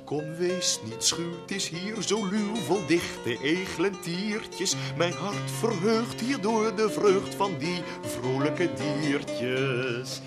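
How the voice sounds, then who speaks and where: A young, witty poet in a 1970 radio play, speaking slowly in a slightly raised voice. He sings his verses and laughs while explaining, with clearly plucked guitar underneath in an old radio broadcast. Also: high voice. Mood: singing. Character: young witty amorous poet, playful and charming. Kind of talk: 1970 radio drama, sung ballad with guitar.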